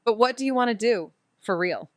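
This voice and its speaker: A woman's voice: fairly high pitch, expressive, fairly quick, no room sound, clean studio sound, close to an everyday voice. An actress playing a sarcastic, playful but secretly tender young woman in a naturalistic audio drama, with a flippant, casual delivery. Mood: guarded, tender.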